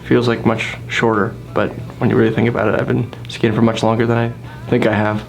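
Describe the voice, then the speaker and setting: A man's voice: fairly low pitch, completely flat delivery, brisk, gravelly, faint music behind, rough recording. A 23-year-old man in a casual interview, rambling and amused at himself.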